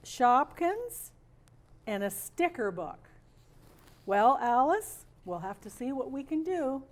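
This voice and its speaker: A woman reading aloud expressively and deliberately as a storyteller in the role of Mrs. Claus, with bits of casual chat. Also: high voice, big pitch swings.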